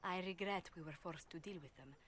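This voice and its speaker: A woman's voice: on the low side, brisk, a bit bright, slight background noise, close to an everyday voice. An actress playing a poised female ambassador and former bard in a video game, speaking formally and with composure. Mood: composed.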